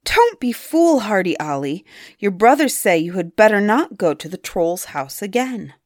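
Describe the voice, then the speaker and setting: A woman's voice: medium pitch, sing-song, moderate pace, no echo, clean studio sound, lightly acted. A woman voicing a cautious, worried father in a storybook, pleading earnestly.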